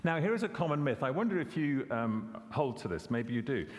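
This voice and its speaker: A man's voice: medium pitch, very animated, fairly quick. Man preaching in a reverberant hall. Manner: conversational, asks questions, self-corrects with repeats. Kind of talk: expository sermon.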